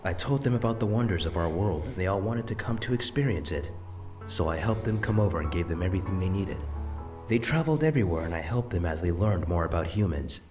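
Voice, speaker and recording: An actor playing a wise, gentle grandfather spirit in a visual novel, speaking calmly and reassuringly, with soft music behind. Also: deep voice, fast talker. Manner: calm, tender, reassuring.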